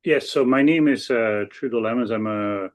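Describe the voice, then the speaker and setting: A man in his sixties from Belgium, a native Dutch speaker with a Belgian Dutch accent, speaking haltingly as a law professor introducing his work on a webinar. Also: medium pitch. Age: 60s. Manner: halting, with frequent hesitations and repeats. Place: office.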